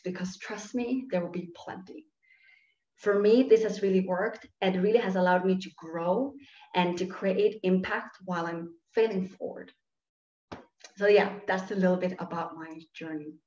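A woman's voice: fairly low pitch, moderate pace, a little mellow. A woman in her thirties from Norway, earnestly telling her personal story on a video call from her living room. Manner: earnest, reflective, gestures while talking. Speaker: guest speaker, sociologist. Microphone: laptop webcam on video call. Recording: dull, roomy video call audio.

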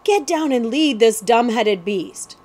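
A woman's voice: a little high, expressive, slow, darkish tone. A woman voicing a haughty, bratty young prince in a storybook, snapping out imperious orders. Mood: bossy.

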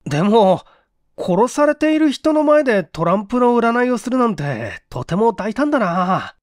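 A man's voice: very high-pitched, sing-song, normal speed, dry recording, clean studio sound, pitched above the performer's own voice. A man voicing a courteous, inquisitive apartment manager who is devoted and easily surprised, in a mystery audio drama. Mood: doubtful. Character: Okamoto, polite, puzzled, devoted male apartment manager.

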